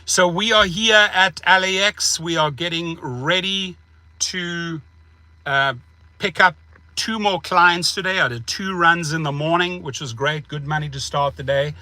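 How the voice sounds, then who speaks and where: Man, 40s, South African accent, emphatic vlogger, slightly high and sharp-edged tone, phone mic in a car. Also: a little slow, no room sound. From United States. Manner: emphatic, animated, gestures while talking. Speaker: rideshare driver and channel host. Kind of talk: casual vlog rant to camera. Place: parked car, indoors. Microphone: phone held at arm's length.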